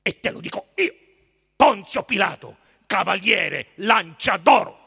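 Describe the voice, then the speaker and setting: A man's voice: high voice, animated, measured pace, sharp tone, pitched above the performer's own voice, exaggerated voice. A proud Roman procurator from a storybook, played by a man reading aloud. He is imperious and haughty, bearing down on his words with emphasis. His whole manner carries menace. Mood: scornful, threatening.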